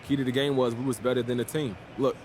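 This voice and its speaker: An actor playing a cocky, defiant young American basketball star, casual and boastful in a sports game's post-game interviews. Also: male voice, mid-range voice, fairly even intonation, quick pace, a little mellow, slight background noise, lightly acted. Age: young adult. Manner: boastful, self-assured, casual.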